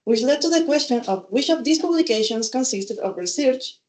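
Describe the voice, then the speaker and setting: Young woman reading formally, mellow. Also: high-pitched, expressive, fairly quick. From Chile. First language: Spanish. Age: young adult. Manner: careful, formal wording. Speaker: seminar presenter. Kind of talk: reading a prepared presentation. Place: seminar venue. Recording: echoey conference room.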